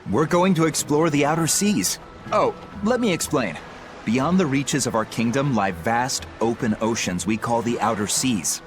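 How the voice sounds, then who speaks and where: A man's voice: mid-range voice, lively intonation, moderate pace, soft background music, natural voice. An actor playing a friendly young male pirate in a game, eagerly explaining things. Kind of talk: animated game voice acting.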